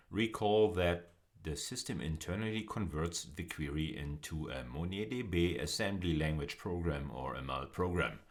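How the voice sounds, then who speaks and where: A man with an extremely deep voice delivers a university video lecture. He explains step by step in a deliberate, somewhat halting manner, pausing and leaning on key words.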